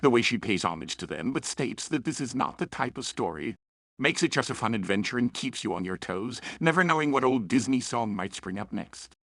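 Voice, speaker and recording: A man presenting a review in a lively, swinging voice with some fry in it. He is chatty and opinionated, slipping playful asides into his scripted narration, and the sound is dry and close. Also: mid-range voice, a little fast, no echo. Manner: chatty, self-aware, opinionated. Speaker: host and reviewer of fan fiction and audio dramas.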